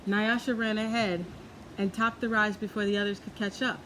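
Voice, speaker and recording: A woman narrates a children's storybook. Her voice sits at a medium pitch. Noticeable background noise accompanies the reading. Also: level tone, moderate pace, a bit bright. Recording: clearly noisy background.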